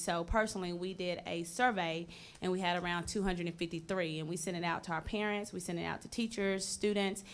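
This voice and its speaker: A woman's voice: fairly low pitch, fairly bright tone. A woman middle school principal, speaking matter-of-factly at a board meeting.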